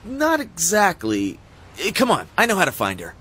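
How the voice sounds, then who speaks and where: A man's voice: sits high, huge pitch swings, normal speed, sharp tone, husky, heavily acted. A man playing an earnest, put-upon techie guru sidekick in a video game, easily indignant. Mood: explaining. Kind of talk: video game cutscene acting.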